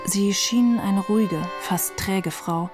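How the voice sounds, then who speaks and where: A woman's voice: mid-range voice, takes it slowly, music in the background, close to an everyday voice. A woman reading a literary radio feature as a young male refugee narrator, proud, wry and detached. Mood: detached, dry. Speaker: reader of a literary novel.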